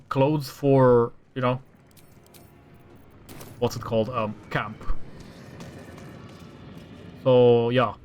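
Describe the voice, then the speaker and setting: A man's voice: mid-range voice, slight background noise. A man hosting a gaming channel, thinking aloud in casual gameplay commentary and breaking into exasperated rants. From Romania. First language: Romanian. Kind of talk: casual gameplay commentary while showing a mod.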